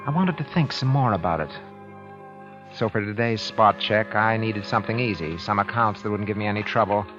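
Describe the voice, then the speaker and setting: An actor playing a henpecked bank auditor, narrating in a weary, brooding, confessional manner in 1940s radio drama style. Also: male voice, lowish voice, lively intonation, fairly quick, music in the background, lightly acted. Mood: brooding, grim. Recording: muffled old radio recording.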